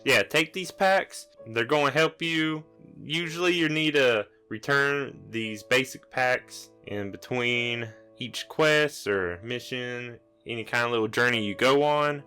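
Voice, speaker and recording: A man voicing a casual monster training guide who rambles through explanations in an offhand, halting way. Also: medium pitch, bright, forceful tone, clean tone, soft background music. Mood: casual, instructive.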